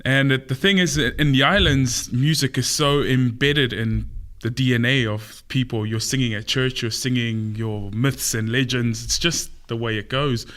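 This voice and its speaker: Man, opera tenor guest, bright forceful voice, laughs a lot while telling stories. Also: mid-range voice, moderate pace, hardly any pauses. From Samoa, raised in New Zealand. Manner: laughs often, animated anecdotes. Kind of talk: casual interview storytelling.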